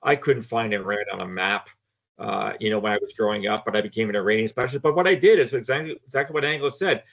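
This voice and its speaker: This older man talks in a low register, frank and emphatic, often doubling his words as he starts a point. His audio comes through dull and lo-fi. He speaks as a guest in a relaxed online panel. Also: fast talker, creaky. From Canada. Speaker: guest, former intelligence analyst. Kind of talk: casual panel discussion.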